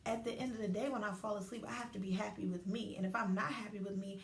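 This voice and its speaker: Thirty-something woman host, lively and talky, recorded at a distance in a reverberant room. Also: mid-range voice, fast talker, creaky, slight background noise. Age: 30s. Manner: animated, chatty, acts out inner voices. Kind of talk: casual livestream talk. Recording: roomy echo, distant from mic.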